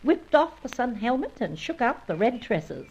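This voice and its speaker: Breezy, animated bird painter. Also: female voice, fairly high pitch, moderate pace, breathy edge, full character voice. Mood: breezy, amused. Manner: breezy, gushing, carefree. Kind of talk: old-time radio adventure serial acting.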